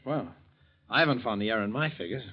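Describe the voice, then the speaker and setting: An actor playing a touchy, defensive young husband who blusters, in old-time radio drama style. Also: male voice, mid-range voice, lively intonation, quick pace, lightly acted. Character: touchy, lazy, cornered young husband turned bank teller. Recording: dull vintage radio recording.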